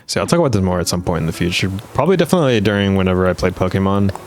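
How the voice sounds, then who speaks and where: A young man with a very deep voice that sounds worn and a little rough, chatting loosely while he plays. He rambles, trails off and restarts often. Clear game music plays underneath him. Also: very fast. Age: young adult. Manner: rambling, many fillers and restarts. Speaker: gaming video host. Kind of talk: casual gameplay commentary.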